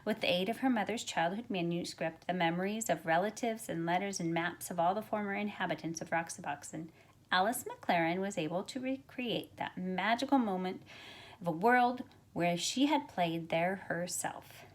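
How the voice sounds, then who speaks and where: A middle-aged woman, a teacher, speaking expressively and deliberately as if to young students during a picture book read-aloud. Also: fairly low pitch. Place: carpeted room at home, indoors. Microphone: phone at arm's length.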